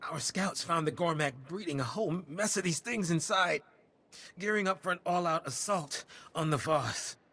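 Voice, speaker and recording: A man voicing a weary wounded soldier in a video game, earnest and halting as he reports. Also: a little high, pushed and bright, slightly rough, heavily acted. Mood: shaken, weary. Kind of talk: video game cutscene acting.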